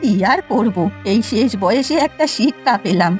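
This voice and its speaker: A woman as elderly village granny, stingy but repentant, plaintive, high voice, cartoon story, music behind. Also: animated, moderate pace, lightly acted. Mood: pleading. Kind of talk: Bengali cartoon story voice acting.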